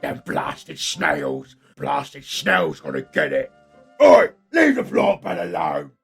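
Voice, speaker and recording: Gruff grumbling troll gardener, acted by a man. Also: on the high side, huge pitch swings, slow pace, soft background music, heavily acted. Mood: grumbling, irate. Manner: rustic, grumbling, deferential to his boss. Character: loyal troll henchman turned gardener, gruff and simple.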